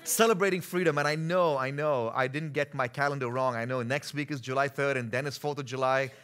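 A man in his thirties from India with an Indian accent, preaching a sermon on a church stage, moving between easy conversation and emphatic, forceful delivery. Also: mid-range voice, steady tone, brisk. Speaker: pastor.

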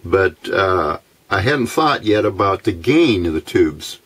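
Slow, low-voiced American man, slightly husky. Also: sing-song, fairly bright tone. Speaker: electronics hobbyist demonstrating a homemade receiver. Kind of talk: casual instructional narration. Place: indoors at a workbench. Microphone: camera microphone.

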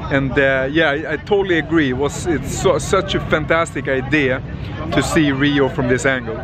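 A man's voice: a little high, normal speed, very noisy. A man speaking as a travel vlogger and guide, giving casual, enthusiastic commentary to camera outdoors on a boat. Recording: loud music under outdoor boat recording. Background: loud music, boat sounds.

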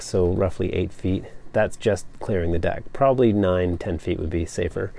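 A man's voice: sits low, vocal fry, dark tone. A man in his thirties, a sailing vlogger, explaining boat maintenance step by step in a practical, matter-of-fact way. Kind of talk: casual how-to narration. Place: on a sailboat at a marina.

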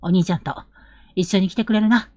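A woman playing an earnest, protective young man, a rough-spoken elder brother, in a Japanese period audio drama. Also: mid-range voice, no room sound, lightly acted. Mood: earnest, gentle. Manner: earnest, measured, gently insistent.

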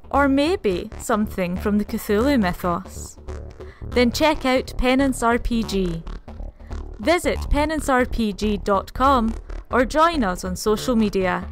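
A woman narrates a scripted promo in a dramatic, storytelling way. Her voice is fairly high, and faint music plays behind it.

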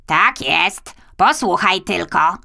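A woman's voice: lowish voice, animated, slow, vocal fry, sharp tone, heavily acted. A woman voicing a kindly, hesitant female crow in a children's audiobook, cawing and repeating her words emphatically. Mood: hesitant, friendly. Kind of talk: children's audiobook character acting.

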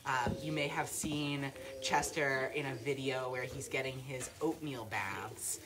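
A woman's voice: very deep voice, fairly even intonation, unhurried, a crowd in the background. A woman hosting a casual live stream from an outdoor barnyard, chatty with many fillers and some laughter. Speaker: sanctuary livestream host.

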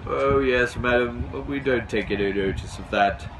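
A man's voice: mid-range voice, normal speed, background noise, close to an everyday voice. A man voicing a chatty, folksy village policeman in a storybook reading. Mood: confiding. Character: chatty, gossipy village policeman, amused and deferential. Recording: outdoors with background bustle.

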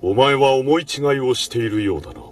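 An actor playing a stern, dignified admiral in an anime, speaking in a formal, measured, commanding way. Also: male voice, mid-range voice, animated, far from the mic, slight background noise, natural voice. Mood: stern, composed. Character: stern, dignified male admiral, high official judging chefs. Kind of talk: anime dramatic acting.

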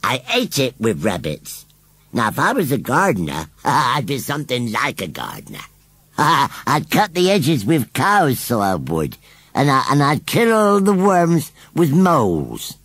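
Man voicing a contrary old-fashioned scarecrow, animated and lifted. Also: medium pitch, unhurried, slightly rough, no echo, voice pushed up, exaggerated voice. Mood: boastful, rambling. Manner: contrary, rambling retorts. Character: odd, touchy male scarecrow, cheeky and contrary.

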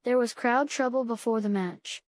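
A woman with a fairly high voice reads isolated scripted sentences for a test. The sound is dry, with no room echo. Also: moderate pace, a little mellow. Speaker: test prompt reader. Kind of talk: scripted example sentences read aloud.

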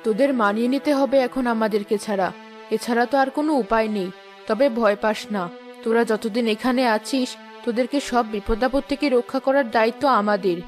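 Woman playing a gentle protective cartoon mom, soothing, a bit high, soft music underneath. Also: a little fast, close to an everyday voice. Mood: tender. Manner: soothing, maternal. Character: gentle, protective mother returned as a spirit. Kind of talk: Bengali cartoon voice acting.

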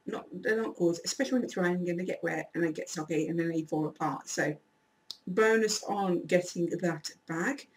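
Woman, 40s, croaky voice, animated rambling vlog chat in a small room, webcam a bit distant. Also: mid-range voice. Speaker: YouTuber. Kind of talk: casual shopping haul vlog.

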